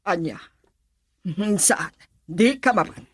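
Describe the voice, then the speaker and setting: A woman's voice: fairly low pitch, takes it slowly, slightly rough, dry recording. A Filipino woman playing an anxious, coaxing mother of a grown son in a radio drama. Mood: worried, pleading.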